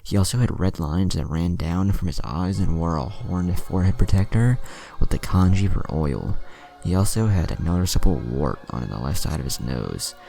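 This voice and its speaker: Male story narrator, extremely low and creaky, scripted read over clear background music. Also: lively intonation, moderate pace, mellow. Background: music under the voice.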